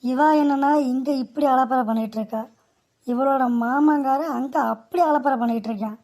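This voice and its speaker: Performer as young cartoon relative, high pitch, dark mellow tone, Tamil accent, mocking, sardonic. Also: moderate pace, close to an everyday voice. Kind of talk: comic cartoon parody of a TV soap.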